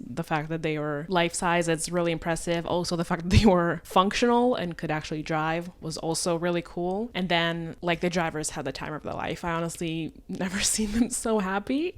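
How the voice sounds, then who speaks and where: Woman, slightly low voice, German-like accent, informal vlog recap, chatty, opinionated. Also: smooth. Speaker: motorsport vlogger. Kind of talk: casual recap commentary.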